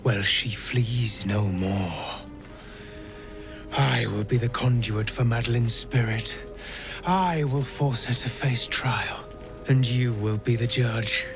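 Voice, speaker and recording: An actor playing a grave occult avenger in a video game, speaking in a solemn, measured, theatrical way. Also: male voice, medium pitch. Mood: cold, resolute. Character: grave, ominous occult avenger seeking judgment on the guilty. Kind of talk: video game character acting. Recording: music behind. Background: game music.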